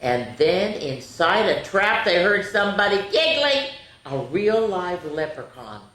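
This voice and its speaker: A woman's voice: on the low side, sing-song, unhurried, fairly bright tone. A woman hosting a children's storytime, reading picture books aloud with playful, chatty asides to her young listeners. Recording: echoey, roomy sound.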